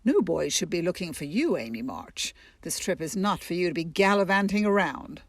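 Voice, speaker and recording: A woman's voice: on the low side, lively intonation, moderate pace, no room sound. A woman playing a haughty, scolding old aunt in an audio drama. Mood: stern. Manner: imperious, scolding. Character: haughty, grumbling old aunt chaperoning her nieces.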